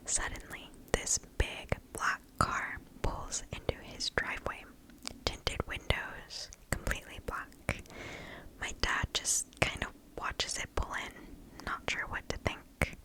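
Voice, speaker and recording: ASMR story reader, female. Full close-mic whisper, very unhurried, hushed and deliberate delivery. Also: low-quality recording. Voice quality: breathy whisper. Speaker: ASMR host reading stories. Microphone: close microphone.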